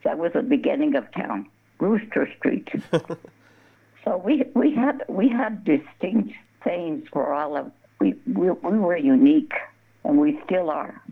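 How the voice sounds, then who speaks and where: Gravelly older woman on a phone line. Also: low-pitched, lively intonation, measured pace. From southern Colorado. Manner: rambling, restarts words, laughs often. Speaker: guest, embroidery artist. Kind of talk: casual interview, storytelling.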